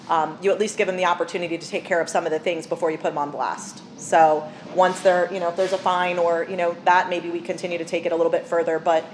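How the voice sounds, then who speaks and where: A woman mayor chairing a village council meeting, moving briskly through the agenda in a casual way with many fillers. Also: on the low side, slight background noise, low-quality recording.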